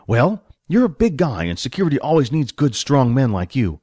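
A man playing an eager, animated stage manager. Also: mid-range voice, normal speed, no echo, clean studio sound. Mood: friendly, appraising. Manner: eager, chatty, enthusiastic explainer. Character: cheerful, proud middle-aged male backstage general manager. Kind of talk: audiobook character voice.

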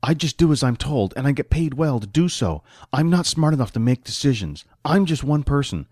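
A man's voice: medium pitch, very animated, fairly quick, darkish tone, dry recording, clean studio sound, voice pushed up. A man voicing a pragmatic, money-minded hired hand in a fantasy audiobook, explaining things in impatient colloquial talk. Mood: firm.

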